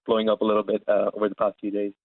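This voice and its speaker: A man's voice: on the low side, completely flat delivery, very fast, no echo. A man in his twenties from Saudi Arabia, answering interview questions in an informal, explanatory way over a live call line. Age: 20s. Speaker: interview guest, founder of an education platform. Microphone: remote call line. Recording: narrow phone-like live line.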